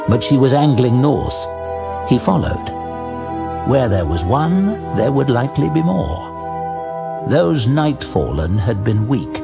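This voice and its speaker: A man narrating a fantasy audio drama in a measured, deliberate, suspenseful storytelling style. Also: medium pitch, vocal fry. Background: music.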